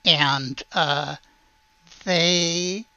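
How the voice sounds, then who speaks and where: A man narrating a tabletop roleplaying game as game master, halting as he searches for words. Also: a little high, fairly even intonation, sharp tone, clean tone, dry recording.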